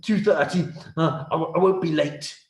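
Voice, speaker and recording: Storybook prime minister acted by a man: flustered, fawning, stammering, raised pitch, echoey room. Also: normal speed, a little hiss or hum, lightly acted. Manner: stammering, spluttering, repeating words. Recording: roomy, echoing sound.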